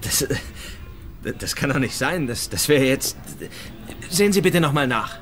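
A man's voice: medium pitch, expressive, a bit bright, close to an everyday voice. An actor playing a flustered, easily exasperated male cruise passenger who stammers and halts, in naturalistic radio drama style. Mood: flustered disbelief. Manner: stammering, halting. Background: music.

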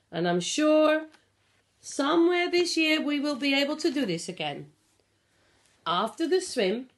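Pre-school storyteller, female, middle-aged: higher-pitched, very varied intonation, expressive picture-book reading for small children. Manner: expressive, explanatory, addressing small children with simple questions. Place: small living room at home. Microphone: phone or tablet at about arm's length.

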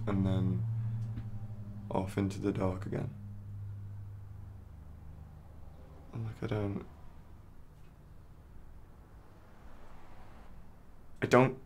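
An actor playing a bitter, self-loathing man in a film monologue, speaking haltingly and searchingly, swearing and repeating his words. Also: low voice, a little mellow, slight background noise. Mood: weary, detached.

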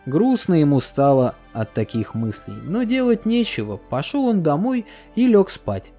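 A male storyteller reads a fairy tale with lively, expressive delivery. His voice sits a little high for a man yet sounds mellow and warm, swinging widely in pitch. Gentle music plays softly beneath him. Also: unhurried. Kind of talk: storybook narration. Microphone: voice-over. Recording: soft music behind.

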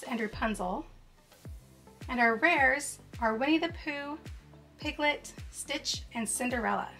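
A woman's voice: sits high, sharp tone. A young woman hosting a cheerful toy unboxing, gasping and exclaiming over each toy as she opens it. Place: indoors at a tabletop set.

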